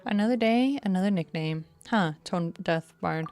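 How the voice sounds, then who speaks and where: A woman's voice: lowish voice, moderate pace, over music. A woman streamer giving casual, chatty commentary, reacting aloud to a game's story. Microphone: close streaming microphone.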